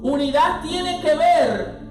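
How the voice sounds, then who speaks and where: A pastor preaching a sermon, swelling from quiet passages into loud, sung-out lines, with music playing underneath. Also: male voice, very high voice, lively intonation, slow, echoey room, recorded from a distance.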